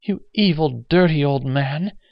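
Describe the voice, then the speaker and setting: A man's voice: on the high side, unhurried, vocal fry, no echo, highs cut off, pitched above the performer's own voice. A man voicing a disgusted woman who scolds with muttered reproach in an audiobook. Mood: horrified reproach. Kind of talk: audiobook character acting.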